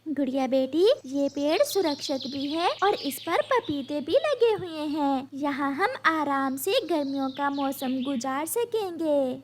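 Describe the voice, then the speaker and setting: A woman's voice: sits high, animated, unhurried, few pauses, background noise. A woman voicing a kind, patient mother sparrow in a cartoon. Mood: gentle. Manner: soft, reassuring.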